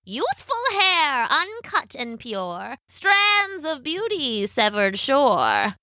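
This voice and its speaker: Croaking, gloating old witch. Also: sits high, sing-song, bright, forceful tone, no room sound, clean studio sound, pitched above the performer's own voice, exaggerated voice. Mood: chanting. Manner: slow, drawn-out, taunting. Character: ancient crone witch, menacing, gloating, cackling villain. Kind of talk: storybook villain voice.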